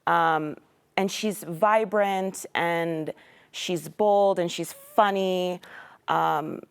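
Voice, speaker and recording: A young woman speaks as a guest on a TV studio couch, her voice sitting a little low. She talks thoughtfully and reflectively, filling pauses with "um" and "like". Her voice comes through a clip-on mic. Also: steady tone, clean tone. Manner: thoughtful, uses fillers like um and like. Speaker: actor guest. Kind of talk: casual interview chat.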